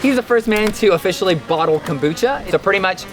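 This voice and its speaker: Upbeat young man, slightly high voice. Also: animated, fast, faint music behind. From Dominican Republic. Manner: earnest and upbeat, yelping at the cold. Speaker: guest. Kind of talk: casual interview chat.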